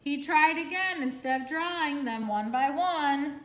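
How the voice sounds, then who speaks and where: Slow, high-pitched woman reading to children. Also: highs cut off. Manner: expressive, singsong, asks listeners questions. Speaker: children's librarian reading picture books. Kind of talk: animated storytime read-aloud with asides to young listeners. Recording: roomy, echoing room sound.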